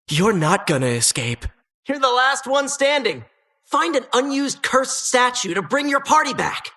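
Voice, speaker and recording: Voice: mid-range voice, sharp tone. A performer voicing a sardonic, menacing dungeon host in a video game, with dramatic mock-cheerful patter. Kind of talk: video game character voice lines.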